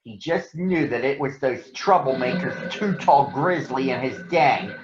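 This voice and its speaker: A man reading a children's story aloud in an expressive, deliberate storytelling manner. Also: medium pitch, over music. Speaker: storybook reader. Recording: roomy echo, dull lo-fi sound.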